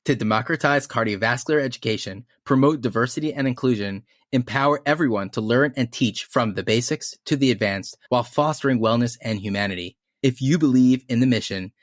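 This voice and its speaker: A man's voice: medium pitch, fairly bright tone. A man co-hosting a podcast in a friendly, enthusiastic and effusive way. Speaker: podcast host.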